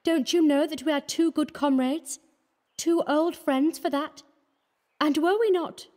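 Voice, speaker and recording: A man reading an audiobook, voicing an earnest, pleading young woman of the artists' quarter in a soft, reproachful manner. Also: steady tone, a little slow. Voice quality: high and fluttering.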